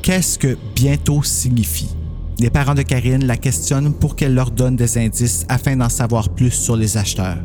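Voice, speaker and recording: A man's voice: medium pitch, fairly even intonation, normal speed, over music. A man narrating a scripted horror story as a podcast host.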